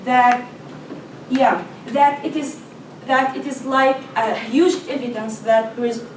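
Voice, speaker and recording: A young woman from Palestine, a native Arabic speaker with a Palestinian Arabic accent, giving a class presentation in a halting way, searching for words and restarting often. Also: on the high side, fairly even intonation, a little hiss or hum. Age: young adult. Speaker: student presenter. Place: classroom or lecture room. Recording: echoey room, voice from far off.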